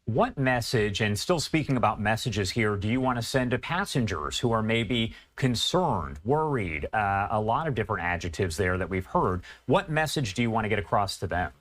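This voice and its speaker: A man anchoring a live news broadcast, asking a guest questions in a measured, conversational way. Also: fairly low pitch, sing-song, a bit bright.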